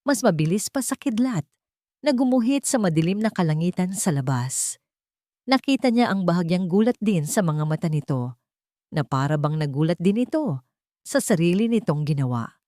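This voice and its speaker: Low-voiced woman narrating a romance, close and dry. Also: lively intonation, moderate pace, mellow, no echo. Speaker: storyteller. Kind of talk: scripted romantic story narration.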